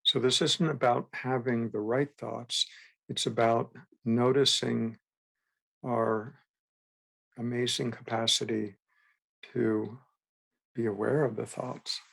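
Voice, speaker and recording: A man guiding a meditation as a teacher, with gentle, unhurried instruction and frequent pauses. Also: medium pitch, little pitch movement, gravelly, rough recording. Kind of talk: guided meditation.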